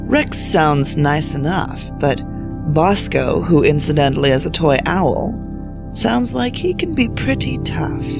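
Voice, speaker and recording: A woman playing the wry, mysterious narrator of a strange-tales audio anthology, in scripted storytelling style. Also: low-pitched. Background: music.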